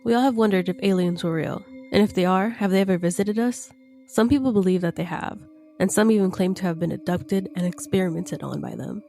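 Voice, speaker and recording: A woman's voice: fairly low pitch, a little fast, creaky, mellow, faint music behind. Stuffy-nosed young woman co-hosting. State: stuffy from a cold. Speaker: podcast co-host. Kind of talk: casual podcast chat.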